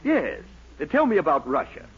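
Sardonic editor, hugely swooping pitch. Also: male voice, huge pitch swings. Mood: sarcastic. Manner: sardonic, drawn-out hesitations. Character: dry, sarcastic, bemused middle-aged newspaper editor. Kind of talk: 1940s radio comedy acting. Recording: very noisy muffled radio recording, studio audience.

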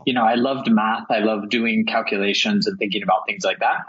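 A man in his forties from Texas, telling his life story in a relaxed, rambling, self-deprecating way as an interview guest. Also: on the low side, brisk. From El Paso, Texas, USA. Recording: muffled lo-fi audio.

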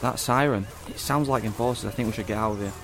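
A man's voice: fairly low pitch, fast talker, close to an everyday voice. An actor playing an easygoing young male friend, casual and playful, in a sci-fi audio drama. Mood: uneasy. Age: young adult. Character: cheerful, easygoing young boy-next-door friend.

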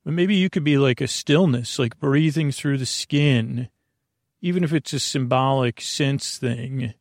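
A man hosting a sleep podcast in a rambling, halting bedtime monologue, digressing and interrupting himself, with a gravelly voice. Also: mid-range voice, no echo, studio quality. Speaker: podcast host.

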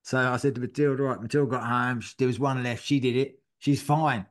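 A man's voice: medium pitch, steady tone, a little fast. A middle-aged man co-hosting a podcast, telling a long story in casual chat and swearing freely. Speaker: podcast co-host.

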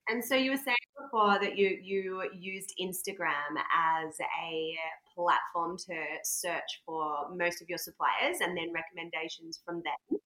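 A woman's voice: fairly low pitch, measured pace, sharp tone. Talkative woman host in an echoey room. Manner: talkative, asks long run-on questions. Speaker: podcast host. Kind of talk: casual interview chat. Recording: roomy echoey sound.

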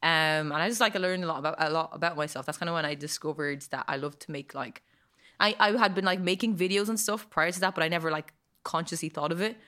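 Bright low-voiced Irish woman. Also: quick pace. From Ireland. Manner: chatty, lots of likes and ums, laughs easily. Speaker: guest. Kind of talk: casual interview chat.

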